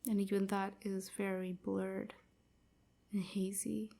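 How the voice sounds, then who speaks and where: A woman playing a sorrowful young enslaved vampire in an intimate audio roleplay, speaking softly and haltingly, often near a whisper. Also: medium pitch, flat delivery, gravelly. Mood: wistful, grieving. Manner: halting, wistful confiding.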